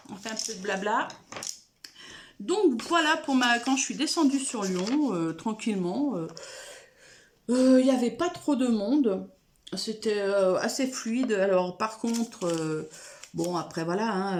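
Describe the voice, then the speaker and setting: Chatty woman with gravelly voice. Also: medium pitch, darkish tone, slight background noise. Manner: rambling, many fillers and restarts. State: has a cold. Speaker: coloring vlogger. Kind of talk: casual chat to camera. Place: room at home.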